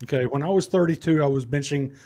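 Combative 50s farmer guy on a headset video call, kinda high voice, keeps cutting in. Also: quick pace, dark tone. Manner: combative, talks over the other speaker. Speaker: farmer debating. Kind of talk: heated online debate.

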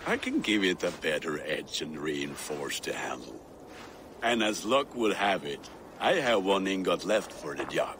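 Viking blacksmith, gruff, boastful, low, lively pitch, slow. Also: male voice, slight background noise, full character voice. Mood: practical. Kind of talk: video game cutscene.

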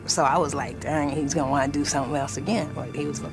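Low, creaky-voiced woman. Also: steady tone, quick pace. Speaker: documentary interviewee. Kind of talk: casual recounting in an interview. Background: music.

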